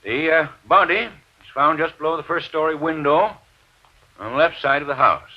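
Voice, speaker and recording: An actor playing a folksy, stammering small-town sheriff's officer in an old radio mystery, halting and peppering his talk with filler phrases. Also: a little high. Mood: formal, demonstrative. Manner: hesitant, stammering, repeating "you know". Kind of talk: 1940s-50s radio drama acting. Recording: dull vintage radio broadcast recording.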